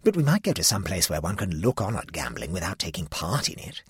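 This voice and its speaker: A cautious young woman who thinks about what is respectable, read by a man in close to his own low voice. Her tone is husky and measured, and the sound is dry. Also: very animated, dry recording, exaggerated voice. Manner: careful and respectable.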